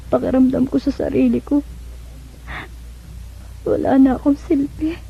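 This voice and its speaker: A woman's voice: high-pitched, gravelly, slight background noise. An actress playing a sullen, despairing young female student in a Filipino radio drama. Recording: dull lo-fi radio drama recording.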